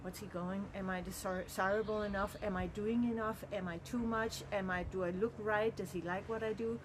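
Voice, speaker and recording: A woman's voice: medium pitch, fairly even intonation, fairly quick, background noise. Earnest middle-aged woman reflecting. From Denmark. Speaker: vlogger. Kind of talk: personal reflective monologue.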